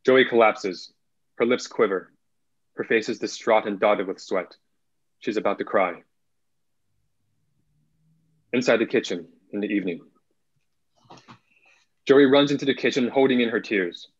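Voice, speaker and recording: A man's voice: lowish voice, moderate pace, long pauses, husky. A young man narrating, reading out screenplay stage directions over a video call. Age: young adult. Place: indoor room, video call. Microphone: video call, computer mic. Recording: muffled video call audio.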